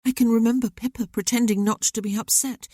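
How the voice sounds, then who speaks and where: A woman doing a candid, earnest young woman, voice raised high. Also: a little fast, no room sound, clean studio sound, lightly acted. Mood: earnest, wistful. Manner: lively, talkative, candid. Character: outspoken young woman, sensitive, earnest sister.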